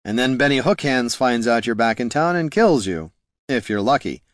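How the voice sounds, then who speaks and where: A man's voice: mid-range voice, lively intonation, normal speed, no room sound, studio quality, close to an everyday voice. A man playing a slick, wordy roguish bard. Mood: wistful, dramatic. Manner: slick, self-justifying, theatrical. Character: sly, wordy male bard and reformed thief. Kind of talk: fantasy audiobook character voice.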